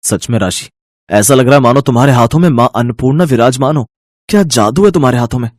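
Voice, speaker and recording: A man's voice: mid-range voice, fast talker, no room sound, clean studio sound, close to an everyday voice. A man voicing a poor, humble young husband in a moral story, affectionate and hesitant. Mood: admiring. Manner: hesitant, soft-spoken.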